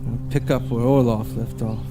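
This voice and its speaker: An actor playing a grief-stricken young man in an audio drama, halting and pleading as he faces killing his own siblings. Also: medium pitch, fairly even intonation, music in the background, lightly acted. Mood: solemn.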